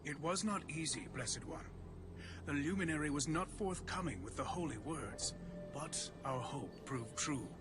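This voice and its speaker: A man's voice: a little high, lively intonation, unhurried. A man voicing a deferential, devout alien steward in a game cutscene, formal and reverent. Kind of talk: dramatic game cutscene acting. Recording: very loud music underneath.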